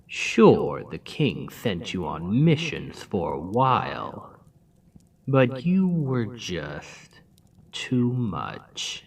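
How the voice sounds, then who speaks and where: Man playing a scheming demon king for ASMR roleplay, low-pitched and unhurried, mocking drawl with whispers, mic set back. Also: wildly swooping pitch, creaky, husky, recorded from a distance, heavily acted. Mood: gloating. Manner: slow, soft-spoken, mocking drawl with dips into whisper.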